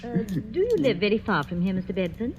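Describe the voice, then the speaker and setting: A woman playing a polite, nervous dinner guest in a vintage radio mystery. Her medium-pitched voice moves expressively but hesitantly, through clear noise on an old recording. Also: very animated, brisk, natural voice. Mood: polite unease. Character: reserved, uneasy female writer at dinner. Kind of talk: old-time radio drama acting.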